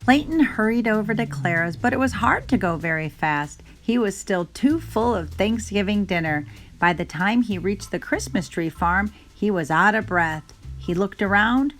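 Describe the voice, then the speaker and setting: A woman reading a children's picture book aloud in an expressive, gentle storytelling manner. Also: mid-range voice, normal speed, soft background music.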